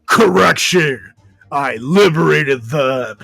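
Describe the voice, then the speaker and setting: A man playing a pompous, gluttonous snack-eating champion in a shouted wrestling-promo style, in a comic story. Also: a little high, expressive, takes it slowly, gravelly, no room sound, heavily acted. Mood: defiant.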